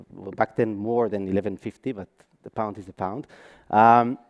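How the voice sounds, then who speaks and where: Israeli guy giving a conference talk, mid pitch, lots of ums and restarts. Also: darkish tone. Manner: hesitant, frequent ums and restarts. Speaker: conference speaker. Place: conference stage.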